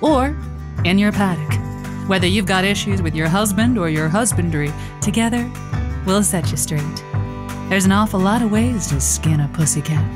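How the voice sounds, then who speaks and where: A woman's voice: mid-range voice, animated, moderate pace, natural voice. Woman acting a flirty late-night radio romance host, music behind. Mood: sultry, playful. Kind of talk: audio drama acting, sultry radio-host patter.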